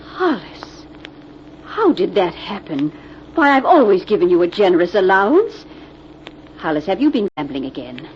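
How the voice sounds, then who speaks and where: An actress playing a wealthy older widowed aunt, genteel and emphatic, in a 1940s radio drama. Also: female voice, mid-range voice, sing-song, normal speed, natural voice. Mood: stern, disappointed. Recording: muffled old radio recording with hiss.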